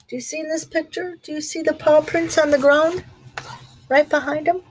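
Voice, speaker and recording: A woman reading a children's storybook aloud expressively, pausing to put questions to young listeners. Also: high-pitched, fairly even intonation, slight background noise, rough recording. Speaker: storybook reader. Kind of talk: children's read-aloud narration.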